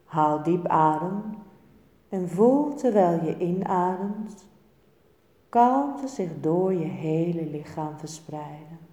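Woman, meditation teacher, dark relaxed tone, very slow measured delivery, soothing, guided relaxation, echoey reverberant room. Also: fairly low pitch. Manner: calm, soothing, measured with short phrases and pauses. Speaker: yoga nidra meditation teacher.

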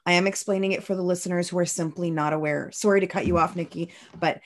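Woman host, lowish voice, careful and gently probing. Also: fairly even intonation, quick pace. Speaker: podcast host. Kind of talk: interviewing.

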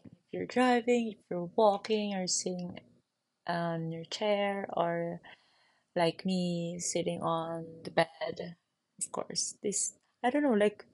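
A Filipino woman hosting her own podcast from her bed, with a Filipino accent, speaking softly and soothingly and guiding the listener through a gentle check-in. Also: on the low side, vocal fry. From Philippines. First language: Tagalog. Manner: soft and soothing, slow, with frequent small laughs. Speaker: podcast host. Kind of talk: gentle guided reflection and casual chat. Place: bedroom, sitting on the bed.

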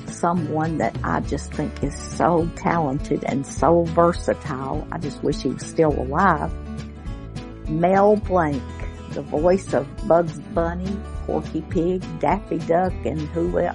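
Slow, low-voiced woman hosting. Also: fairly even intonation, vocal fry. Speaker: radio show host. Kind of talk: casual chat. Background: plucked guitar music.

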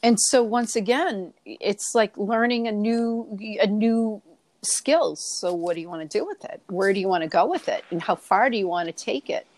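A woman's voice: mid-range voice, normal speed, darkish tone, no room sound. A woman with a Boston accent, co-hosting a reflective spiritual podcast and coaching listeners with repeated rhetorical questions. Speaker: podcast co-host.

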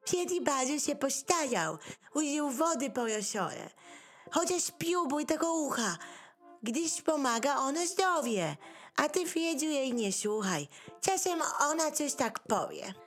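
A woman's voice: sits high, sing-song, takes it slowly, exaggerated voice. A woman playing blunt, picky pet dogs in a rhymed radio play, with a sardonic manner. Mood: grumbling, picky.

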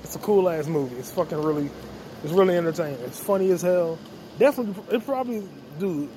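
A man's voice: a little high, warm tone, slight background noise, poor audio. Profane young man rambling on a walk. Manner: profane, loose, joking. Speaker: podcast host. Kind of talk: rambling solo podcast while walking. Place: outdoors on a quiet street.

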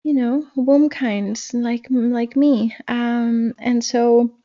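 A woman from Pakistan, a guest in a conversational interview, speaking slowly and reflectively with many fillers and restarts. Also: fairly high pitch, fairly even intonation, highs cut off.

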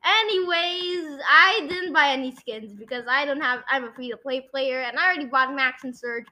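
Young girl hosting a casual podcast game, really high bright voice. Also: normal speed. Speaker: podcast host. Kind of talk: casual chat and guessing game.

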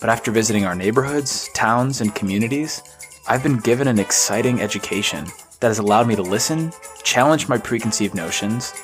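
Male host, very low voice, faint music under him, upbeat interviewer. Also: brisk. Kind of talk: documentary interview and intro.

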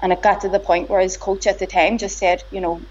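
A woman's voice: medium pitch, level tone, brisk, a little mellow. Belfast woman chatting, stops and restarts a lot, kinda dull lo-fi audio. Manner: conversational, hesitant restarts. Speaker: guest, boxer's partner. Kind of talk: casual interview. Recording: slightly dull lo-fi sound.

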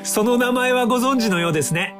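An actor in an audio drama playing an earnest, polite young time-traveling inventor who explains things courteously. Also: male voice, very high-pitched, fast, music in the background. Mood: calm, explanatory.

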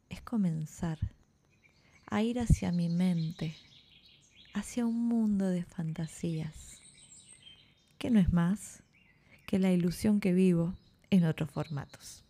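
Slow, mellow, creaky woman guiding relaxation. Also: fairly low pitch. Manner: soothing, unhurried instructions with long pauses. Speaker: yoga nidra instructor. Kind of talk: guided relaxation meditation.

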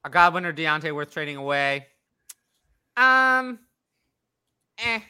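A man's voice: on the high side, sing-song, slow, bright, forceful tone, clear, resonant tone. A man hosting a casual sports talk show and fielding questions from a live chat.

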